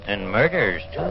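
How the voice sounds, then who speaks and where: An actor playing a sinister old hermit who tells ghost stories with eerie cackles, in 1940s radio horror style. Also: male voice, fairly high pitch, full character voice. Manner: slow, drawn-out, eerie, cackling. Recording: very noisy muffled 1940s radio recording.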